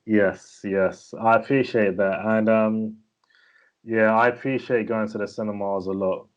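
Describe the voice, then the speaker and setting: A man's voice: lowish voice, flat delivery, measured pace. Man, easygoing host, dull muffled recording. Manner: easygoing, brief agreeing replies. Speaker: podcast host. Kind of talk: casual chat.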